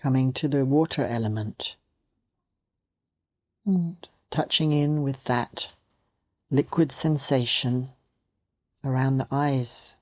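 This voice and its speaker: Very low, slow woman guiding meditation. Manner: gentle, sparse phrases with long pauses. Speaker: meditation teacher. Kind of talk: guided meditation.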